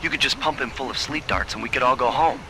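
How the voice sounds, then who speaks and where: An actor playing an easygoing, quick-witted young thief in a video game, speaking casually with dry confidence. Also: male voice, medium pitch, background noise, natural voice. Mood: skeptical. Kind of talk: video game cutscene acting.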